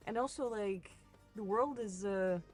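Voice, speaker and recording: A woman's voice: medium pitch, a little slow, soft background music. Chatty woman in a car. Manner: chatty, with frequent restarts and many "right"s. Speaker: rideshare passenger. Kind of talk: casual conversation. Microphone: car interior mic.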